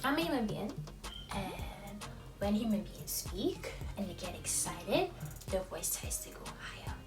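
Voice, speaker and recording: A young woman with a Trinidadian accent touched by American tones, talking expressively to camera in a high, youthful voice. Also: reverberant, distant mic, over music. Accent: Trinidadian with American influence. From Trinidad and Tobago. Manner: expressive, lively, quick when excited, laughs often. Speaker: vlogger. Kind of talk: casual talk to camera.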